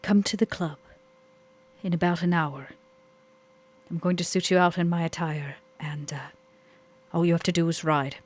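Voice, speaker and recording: Woman playing a husky, persuasive vampire club owner. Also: fairly low pitch, steady tone, normal speed, long pauses, natural voice. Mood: businesslike. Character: composed, persuasive female vampire club owner bargaining for loyalty.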